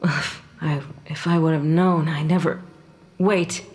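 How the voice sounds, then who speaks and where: An actress playing the bewildered, feisty ghost of a woman in her thirties, halting in delivery, in an audio drama. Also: low voice, fairly even intonation, a little mellow. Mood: dazed. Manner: halting, breaks into Spanish when upset. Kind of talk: full-cast audio fiction acting.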